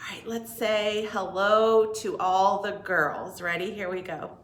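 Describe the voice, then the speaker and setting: A woman working as a children's librarian, hosting a read-aloud storytime in an animated, encouraging way with simple phrasing for small children. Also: medium pitch, measured pace, reverberant.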